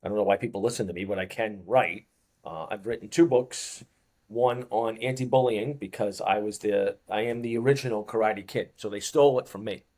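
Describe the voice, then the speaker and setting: A man's voice: fairly low pitch, brisk, darkish tone. A man in his fifties with a Boston accent, a fitness coach chatting as an interview guest and rambling through anecdotes. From Boston, USA. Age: 50s. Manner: rambling anecdotal storyteller, chuckles often. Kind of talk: casual interview chat.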